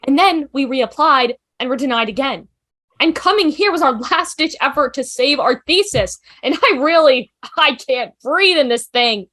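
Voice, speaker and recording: A woman's voice: high-pitched, expressive, moderate pace, bright, forceful tone. A woman playing an outspoken, nervy young student who rambles emphatically and theatrically in a drama table read. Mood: exasperated.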